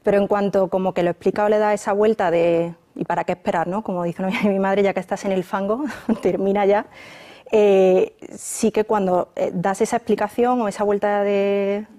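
Woman with a mellow voice, musing aloud. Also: medium pitch, steady tone, moderate pace. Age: around 30. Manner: thoughtful, with frequent fillers and restarts. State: pregnant. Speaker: interview guest, journalist. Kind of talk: conversational interview answers.

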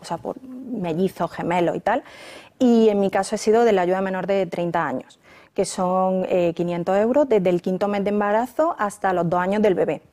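A woman around thirty, a pregnant journalist, answering interview questions in a thoughtful way, with many pauses and restarts. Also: mid-range voice, darkish tone. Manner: thoughtful, with frequent fillers and restarts.

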